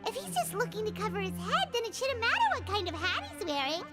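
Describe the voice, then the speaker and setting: A woman voicing a tiny, chatty and excitable fairy companion in a video game, squeaky and childlike, in an exclamatory manner. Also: fast talker. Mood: bubbly, searching. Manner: talks about herself in third person, exclamatory. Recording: game music behind the voice.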